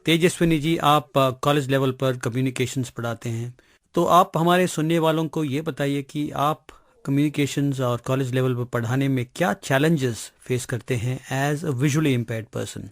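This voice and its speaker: Indian man doing an interview, polite and formal, middle pitch, dry close sound. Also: moderate pace, dry recording. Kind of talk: interview questioning, Hindi mixed with English.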